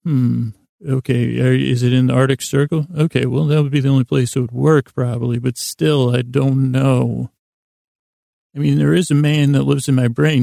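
A man with a creaky voice hosting a sleep podcast, delivering a whimsical, meandering monologue that halts, trails off and doubles back absent-mindedly. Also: medium pitch, warm tone, dry recording, clean studio sound. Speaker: podcast host.

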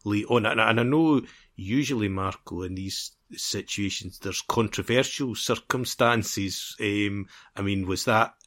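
A man's voice: on the low side, unhurried, hardly any pauses, fairly bright tone. A man co-hosting a football podcast in casual chat, rambling and repeating phrases as he builds his point.

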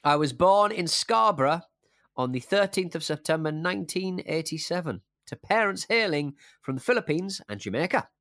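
Playful guy hosting a football quiz podcast, slightly high voice, very dry room. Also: sing-song, moderate pace, fairly bright tone, no room sound. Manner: jokey, playful. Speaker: podcast host and quizmaster. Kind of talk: casual football podcast chat, reading quiz clues.

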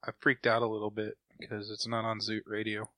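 A man chats casually as a podcast co-host. His low voice is bright but quite flat in pitch, recorded close and dry. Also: fairly quick, dry recording. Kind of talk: casual chat.

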